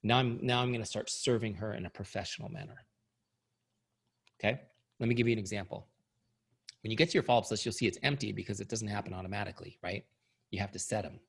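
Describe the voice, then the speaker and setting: A man's voice: on the low side, steady tone, quick pace, gravelly, long pauses, slightly rough. A middle-aged man giving a sales training talk, upbeat and coaching, who is starting to lose his voice. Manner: upbeat, coaching, asks "right?" often. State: losing his voice. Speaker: company founder and trainer.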